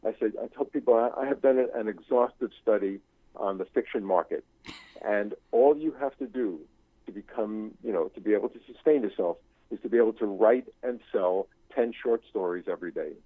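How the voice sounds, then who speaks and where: Older guy on a tinny phone line, kinda low voice, rambly interview talk, keeps searching for words. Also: brisk, slightly rough, no echo. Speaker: interview guest, novelist and screenwriting teacher. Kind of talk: reflective conversational interview.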